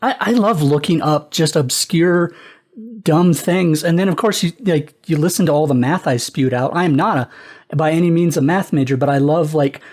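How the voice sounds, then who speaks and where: A man's voice: fairly high pitch, normal speed, a little mellow. A man chatting casually as a debater on a comedy podcast. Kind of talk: casual chat.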